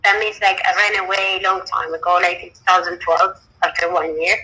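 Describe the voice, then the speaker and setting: A woman from Ethiopia joining a panel by phone, speaking hesitantly with many pauses and fillers. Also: fairly low pitch, level tone, sharp tone, rough recording. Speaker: panel guest, freelance migrant worker. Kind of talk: answering questions on a panel. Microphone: phone on a messaging-app call.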